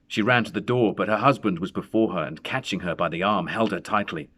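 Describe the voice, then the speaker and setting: A man narrating a horror story in a dramatic, suspenseful and deliberate way. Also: sits low, a bit bright. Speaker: story reader.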